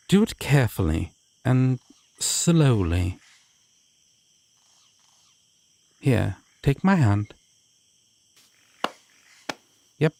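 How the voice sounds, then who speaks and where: A man playing a courteous, formal deity of dreams in an audio roleplay, speaking to the listener with measured, theatrical pauses. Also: medium pitch, darkish tone. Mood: wry, gentle. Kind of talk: immersive roleplay addressed to the listener.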